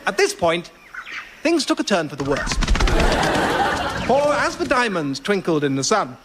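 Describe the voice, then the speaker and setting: An actor playing a pompous, cheerfully oblivious gentleman adventurer, telling a florid old-fashioned tale in a radio sketch. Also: high voice, sing-song, unhurried, over a noisy crowd, heavy background noise. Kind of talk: comic storytelling, radio sketch.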